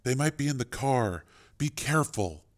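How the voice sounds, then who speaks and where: A man's voice: mid-range voice, expressive, moderate pace, lightly acted. A man voicing Raven, a tough, weary, stubborn woman who talks in terse slang in an audiobook. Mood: wary.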